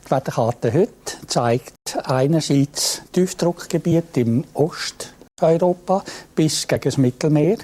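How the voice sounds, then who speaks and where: A man presenting the weekend weather forecast in a plain, measured way with some hesitations. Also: mid-range voice, dark tone. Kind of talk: scripted weather forecast, explaining.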